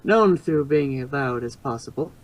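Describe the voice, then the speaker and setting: A man's voice: medium pitch, expressive, normal speed, no room sound, poor audio. A man reading fan fiction aloud as an amateur narrator, sometimes stumbling and rereading phrases.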